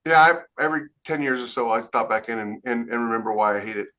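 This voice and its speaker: A man's voice: medium pitch, fast, pushed and bright. A man co-hosting a podcast as a science fiction author, chatting casually and enthusiastically in rambling explanations. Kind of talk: casual panel chat.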